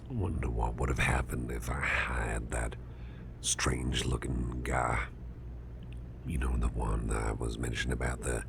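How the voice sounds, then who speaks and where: A man playing a gruff, flustered boss in an ASMR roleplay, muttering haltingly in a growly, breathy half-whisper and repeating himself. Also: very deep voice, noisy background, full character voice. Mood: musing, wary. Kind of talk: ASMR roleplay, spoken softly to the listener.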